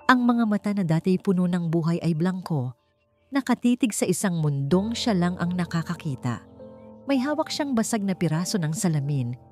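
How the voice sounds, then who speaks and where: A woman's voice: fairly low pitch, a little mellow. A woman reading a scripted dramatic story as its narrator.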